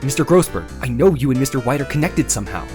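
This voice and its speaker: An actor playing an earnest, dogged and excitable young defense lawyer in a video game, with a probing way of talking. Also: male voice, mid-range voice, fast, music in the background, natural voice. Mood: pressing, earnest. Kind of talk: dramatic video game character acting with inner monologue.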